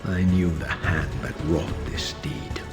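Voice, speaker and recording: A man voicing a proud, vengeful undead vampire in a video game, brooding and bitter, with measured, grandiose, disdainful theatrical delivery. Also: low-pitched, music in the background. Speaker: protagonist narrator. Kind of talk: dramatic scripted game narration.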